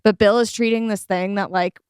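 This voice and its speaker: A woman's voice: medium pitch, steady tone, a little fast, darkish tone, clean tone, dry recording, studio quality. A woman on a podcast panel, talking through ideas casually and analytically, with emphatic, informal phrasing.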